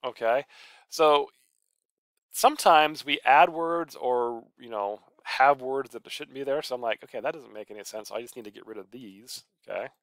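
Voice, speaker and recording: Man, smooth mid-range voice, dry sound, informal tutorial presenter talking through each step. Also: mid-range voice, moderate pace, fairly bright tone, no room sound. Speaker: college professor and author giving a software tutorial. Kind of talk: casual screencast tutorial.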